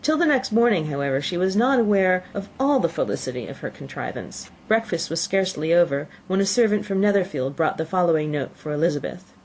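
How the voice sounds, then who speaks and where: A woman's voice: fairly low pitch, very animated, a little fast, hardly any pauses, slight background noise, poor audio. A woman narrating a classic novel as an audiobook, reading from the text.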